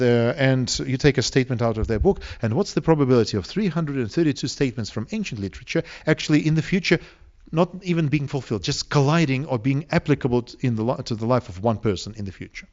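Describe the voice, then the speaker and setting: A man from Siberia with a Russian accent preaches to an audience, speaking deliberately with rhetorical questions and emphatic pauses. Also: mid-range voice, expressive, darkish tone, muffled recording. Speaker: preacher, evangelistic seminar speaker. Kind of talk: sermon to a live audience.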